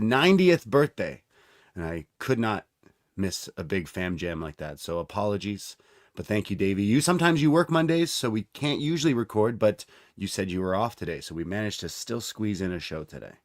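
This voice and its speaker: Low-voiced man chatting casually on a podcast. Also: dry recording, studio quality. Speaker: podcast co-host. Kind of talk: casual chat.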